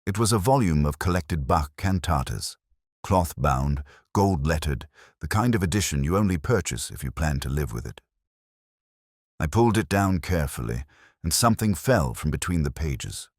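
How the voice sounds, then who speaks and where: A man narrating a detective story in the first person, with a measured, literary, reflective delivery and a husky voice. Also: rumbling low, very animated, no echo, clean studio sound.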